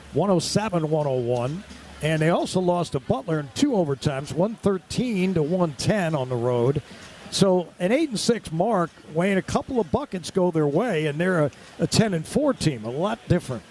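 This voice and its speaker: A man's voice: a little high, darkish tone, slight background noise. A man doing live radio-style basketball play-by-play in clipped, vivid running descriptions.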